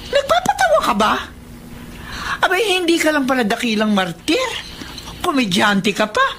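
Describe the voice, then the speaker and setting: A woman's voice: high-pitched, wildly swooping pitch, moderate pace, background noise, exaggerated voice. A Filipino woman playing a blunt, doting grandmother, sarcastic and probing, in a radio drama. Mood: suspicious, scornful. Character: blunt, doting older grandmother, suspicious of daughter-in-law.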